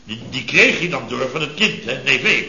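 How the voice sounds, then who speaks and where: An actor playing a pompous, exasperated, self-important boss of a building firm in an old radio comedy. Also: male voice, medium pitch, lively intonation, normal speed, vocal fry, sharp tone, slight background noise, heavily acted. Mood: indignant, blustering. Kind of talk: 1970s Dutch radio comedy acting. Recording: muffled, echoey old radio recording with audience.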